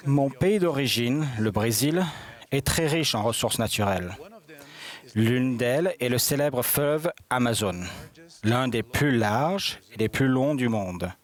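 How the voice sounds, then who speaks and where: A man interpreting a church conference address in a formal, measured way. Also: medium pitch, animated. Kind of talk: formal sermon delivered in translation.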